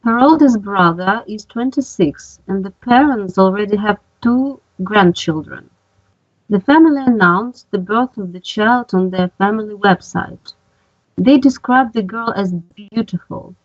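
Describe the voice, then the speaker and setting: Woman in her thirties, adult student, careful slow reader, dry dull call audio. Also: mid-range voice, dry recording. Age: 30s. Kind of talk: reading aloud in class. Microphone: video call.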